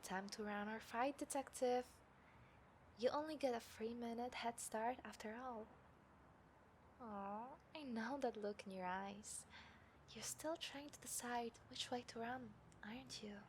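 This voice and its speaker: Girl voicing a cocky menacing lady serial killer, audio roleplay, highish voice, taunting and deliberate, bit of background noise. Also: close to an everyday voice. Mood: predatory taunting. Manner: smug, menacing, deliberate, dropping into breathy whispers. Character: taunting, confident female serial killer hunting a detective. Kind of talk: scripted audio roleplay addressed to the listener. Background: city ambience sound effects.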